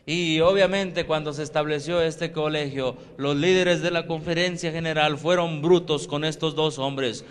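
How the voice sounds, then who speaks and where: A man's voice: on the high side, fairly even intonation, normal speed, hardly any pauses, clear, resonant tone. A man interpreting a sermon phrase by phrase, with filler sounds and short asides. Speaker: interpreter and preacher.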